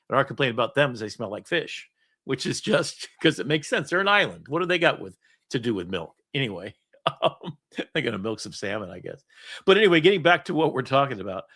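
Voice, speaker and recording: A middle-aged man, the host, telling rambling stories in casual chat, laughing often. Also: medium pitch. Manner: storytelling, animated, laughs often. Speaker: podcast host.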